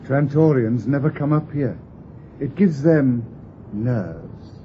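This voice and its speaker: An actor playing a glib, knowing city local with a British accent, slyly drawing out a stranger in a radio drama. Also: male voice, mid-range voice, lively intonation, takes it slowly. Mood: chatty, wry. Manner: glib, wry, conversational. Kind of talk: BBC radio drama acting. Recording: dull lo-fi radio recording with hiss.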